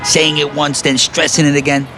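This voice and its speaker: An actor playing a blunt, wisecracking New construction worker in an audio play, with a sardonic, needling delivery. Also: male voice, on the high side, flat delivery, moderate pace, natural voice. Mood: wry, needling. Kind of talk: naturalistic audio play dialogue. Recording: noisy city street with traffic. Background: urban street ambience, vehicles.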